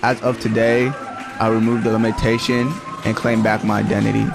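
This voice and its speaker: A man's voice: lowish voice, level tone, gravelly, very echoey, recorded from a distance. Young man reciting spoken word over music. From Tanzania. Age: young adult. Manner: measured, earnest recitation. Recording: music playing underneath.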